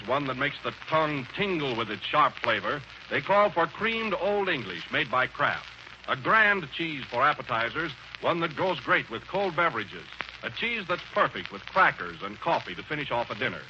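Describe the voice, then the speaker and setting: Guy doing vintage radio ad read, really sharp lively voice, muffled old recording. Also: on the high side, moderate pace. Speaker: announcer. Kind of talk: 1930s radio commercial, scripted. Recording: dull old radio recording, faint noise.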